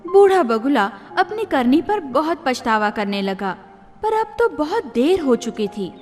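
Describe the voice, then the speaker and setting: A woman storyteller reading scripted children's tales in a deliberate, expressive manner. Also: high-pitched. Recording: long reverb, music behind.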